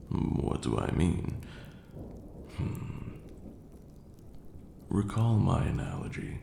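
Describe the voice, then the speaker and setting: A man playing a stern, aloof vampire lord in an ASMR roleplay, speaking in a formal, haughty way with a gravelly, breathy near-whisper. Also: sits low, sing-song, dark tone, exaggerated voice. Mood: patient, instructive. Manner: formal, haughty, slow scolding lecturing delivery. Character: stern aloof ancient male vampire lord, grudgingly caring. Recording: roomy echo, clear background noise.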